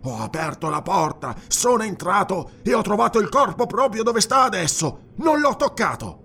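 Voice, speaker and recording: A man voicing a jittery, blustering night watchman in a fantasy audiobook, with a strained, raspy, nervous voice. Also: high voice, moderate pace, pitched above the performer's own voice, full character voice. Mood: agitated, explaining. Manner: nervous, excitable exclamations. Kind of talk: dramatized fantasy audiobook dialogue.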